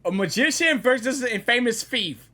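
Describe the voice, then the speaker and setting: A gamer giving casual, slangy live reaction commentary, excitable and often repeating phrases. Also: mid-range voice, normal speed, a little hiss or hum. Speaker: gamer reacting to a game story. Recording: game music behind the voice.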